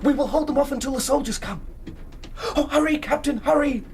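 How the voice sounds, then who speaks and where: A man's voice: very high voice, a little fast, gravelly. An actor in a radio drama playing a sly, two-faced convict posing as an unctuous clergyman. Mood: feigned panic. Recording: noisy radio drama scene.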